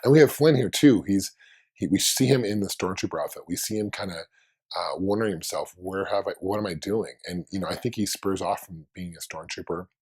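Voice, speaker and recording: A man hosts his own fan video while under the weather, his voice hoarse and husky and pitched very low. He rambles casually through his thoughts, often restarting sentences mid-way. The delivery is loose and conversational. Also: fairly quick, darkish tone. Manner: rambling, thinking aloud with many restarts.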